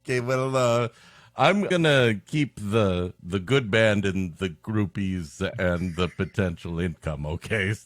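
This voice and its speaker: Wry, low-voiced male comedy co-host. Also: slow pace, no room sound, soft background music. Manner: wry, riffing with mock-serious asides. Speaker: podcast co-host. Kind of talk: casual comic banter.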